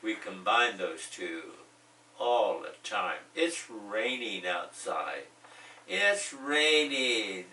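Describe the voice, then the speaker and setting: Slow, deep-voiced man teaching English. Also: very animated, sharp tone, reverberant, far from the mic. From United States. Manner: slow, repeats example phrases, joking asides. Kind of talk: bilingual language lesson.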